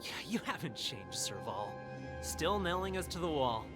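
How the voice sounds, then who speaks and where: An actor playing a hesitant, easily cowed city guard in a video game, flustered and deferential with a stammering delivery. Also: male voice, a little high, big pitch swings, normal speed, music in the background. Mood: wry, familiar.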